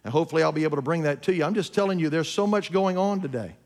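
A fifty-year-old man preaching a sermon to his congregation, emphatic, swinging between loud peaks and quiet asides and asking them questions. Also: fairly high pitch, racing. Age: 50s. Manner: addresses congregation directly with questions. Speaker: pastor. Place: church sanctuary.

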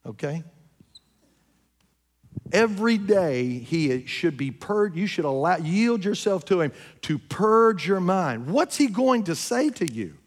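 A fifty-year-old man preaching a sermon to his congregation, emphatic, swinging between loud peaks and quiet asides and asking them questions. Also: sits high, sing-song, takes it slowly. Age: 50s. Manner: addresses congregation directly with questions. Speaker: pastor. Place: church sanctuary.

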